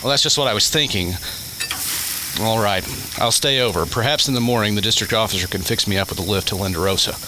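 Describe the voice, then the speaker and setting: A man's voice: lowish voice, fast talker, noisy background, close to an everyday voice. A man playing an easygoing, wry, thoughtful scientist in a radio drama. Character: easygoing, wry male scientist on camping trip.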